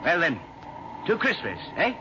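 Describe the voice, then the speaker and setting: An affable Australian army officer in vintage radio drama. His voice sits a little high and swings freely, with clipped, slangy, chummy phrasing. The old recording is muffled and clearly noisy. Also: huge pitch swings, measured pace. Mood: jovial.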